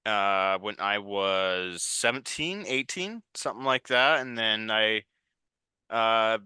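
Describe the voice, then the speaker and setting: A man's voice: fairly low pitch, expressive, slow, sharp tone, smooth, no room sound, studio quality. A man in his twenties giving casual, informal, rambling answers as an interview guest. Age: 20s. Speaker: interview guest, writer and director.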